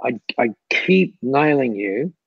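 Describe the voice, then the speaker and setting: Gravelly man giving chatty advice. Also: mid-range voice, lively intonation, slow pace, no echo, dull audio. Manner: chatty, repeats words, laughs often. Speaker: guest speaker. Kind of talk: mentoring Q&A on a call.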